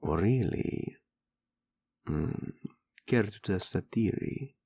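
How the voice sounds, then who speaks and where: A man's voice: low voice, animated, drawn-out words, a little mellow, breathy edge, heavily acted. A man playing a flirtatious, doting prince and husband in a romantic audio roleplay, speaking intimately and expressively. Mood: teasing, flirtatious.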